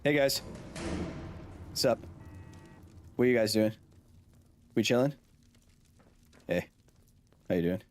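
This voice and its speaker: Excitable man streaming a game. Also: mid-range voice, slow, long pauses, a little hiss or hum. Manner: goofy, excitable, shouts at the game. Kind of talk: live gameplay commentary.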